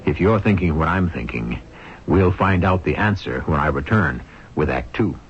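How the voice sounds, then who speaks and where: A man hosting a 1970s radio mystery, narrating in a suspenseful, deliberate, scripted style. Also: rumbling low, expressive, slight background noise. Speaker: radio drama host. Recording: dull lo-fi old radio recording.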